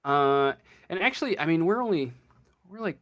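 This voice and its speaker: A man narrating a tabletop game as game master, halting and searching for words as he improvises. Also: fairly high pitch, lively intonation, a bit bright.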